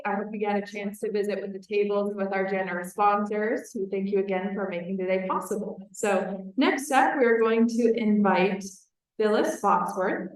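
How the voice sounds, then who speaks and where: A woman emceeing a conference, making brisk, friendly announcements and introducing the next speaker. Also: mid-range voice, darkish tone. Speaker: event emcee. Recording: very echoey hall, voice far from mic.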